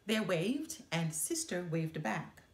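A middle-aged woman reading a children's story aloud with expressive narration in a living room. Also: fairly low pitch, slow pace. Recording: roomy indoor echo.

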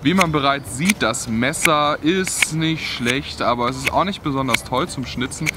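A man's voice: mid-range voice, moderate pace, very noisy. A young man giving a casual hands-on review of outdoor gear, outdoors in a bare forest, thinking aloud as he tries things out.

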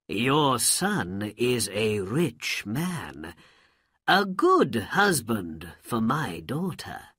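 Proud old sultan, commanding and deliberate, read by a woman narrator; slow, husky, dry. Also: very deep voice, sing-song, fairly bright tone, dry recording, heavily acted. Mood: measured.

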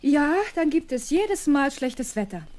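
A woman's voice: sits high, lively intonation, unhurried, darkish tone, a little hiss or hum. An actress playing a patient, kindly governess talking affectionately to a small girl in a children's radio play. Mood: cheerful, patient. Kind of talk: 1970s German children's radio play acting.

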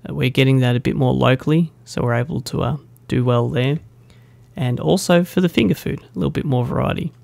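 A young man talks casually straight to the camera, rambling and poking fun at himself. His voice has a gravelly edge. The sound is close and dry, with no room echo. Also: medium pitch, fairly quick, a little mellow. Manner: chatty and self-deprecating, with fillers. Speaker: meetup organiser. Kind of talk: casual vlog announcement.

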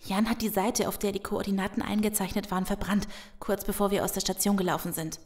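A woman's voice: medium pitch, little pitch movement, quick pace, a little mellow, natural voice. A woman playing a despairing, blunt, emphatic survivor in a German zombie audio drama. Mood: distressed.

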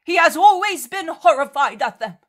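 A woman's voice: extremely high pitch, animated, moderate pace, pitched above the performer's own voice. A woman voicing a stern, commanding male holy prophet from a religious story, declaiming emphatically like an orator. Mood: righteous, thundering.

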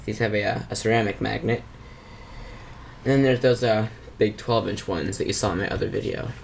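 Low, creaky-voiced man, casual show-and-tell. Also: steady tone, brisk. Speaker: hobbyist vlogger showing vintage speakers. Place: small indoor room at a workbench. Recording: noisy camera mic, audible background noise.

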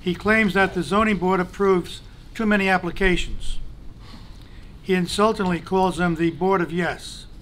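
A man's voice: high-pitched, fairly bright tone, slight background noise. A man reading a prepared, pointed statement at a council meeting in a large chamber, into a desk microphone. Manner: measured, pointed criticism, formal. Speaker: council president.

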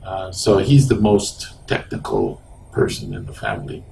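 A man's voice: sits low, wildly swooping pitch, a little slow, gravelly, dark tone, distant mic, a little hiss or hum, poor audio. A man in his sixties, born in Egypt with Arabic as his first language, recalling his past hesitantly with many ums in a small office interview. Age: 60s. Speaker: interviewee, engineering professor. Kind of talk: oral history interview. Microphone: lapel mic.

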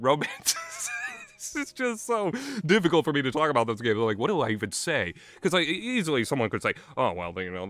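A man's voice: mid-range voice, bright, forceful tone, no room sound. A young man giving casual, unscripted commentary as a reviewer, hesitant and self-deprecating in the way he talks. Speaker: visual novel reviewer. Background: music.

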